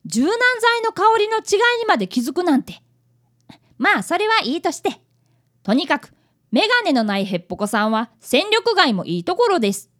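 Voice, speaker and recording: A woman's voice: extremely high pitch, very animated, normal speed, fairly bright tone, heavily acted. A woman playing an earnest, polite fifth-grade boy apprentice detective who narrates a radio drama. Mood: narrating, wry.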